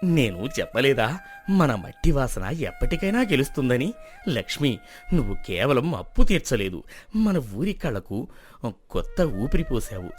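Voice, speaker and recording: Actor as expressive kindly old village man. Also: medium pitch. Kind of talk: dramatised moral story.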